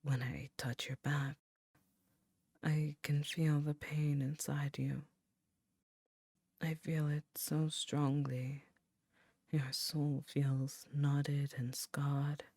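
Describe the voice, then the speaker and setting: A woman voicing an ancient succubus queen, tender and soothing, in a soft, breathy, intimate ASMR roleplay. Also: low-pitched, little pitch movement, no room sound, studio quality, heavily acted. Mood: tender, solemn. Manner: slow, intimate, reassuring.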